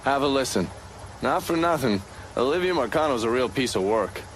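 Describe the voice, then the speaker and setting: A man playing a brisk, terse, businesslike accomplice in a video game. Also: mid-range voice, lively intonation, lightly acted. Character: brisk male accomplice laying out a plan. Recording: noisy street and vehicle sound.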